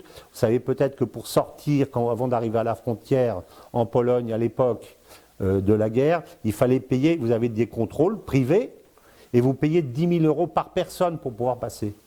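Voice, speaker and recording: An older man in his sixties gives his commentary at length, speaking straight to the listener. His voice is mellow, and his flow keeps breaking on fillers and repeated words before he picks the thread back up. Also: medium pitch, normal speed. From born in Congo, lived in Africa, Spain, Brazil. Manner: explains at length, addresses the listener directly. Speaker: strategic affairs commentator, guest. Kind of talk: casual spoken commentary.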